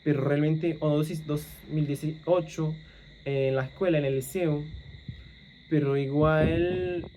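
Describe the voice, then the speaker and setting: A young English man chats to camera in Spanish that leans Argentine, his native English still underneath. His voice is mellow and dark, and he pauses often, correcting himself mid-thought. Faint insects and night sounds sit behind him. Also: fairly high pitch, a little hiss or hum. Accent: English speaker with Argentine-leaning Spanish. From England. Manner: thinking aloud, many fillers and self-corrections. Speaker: language-learning vlogger. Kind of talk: casual monologue to camera.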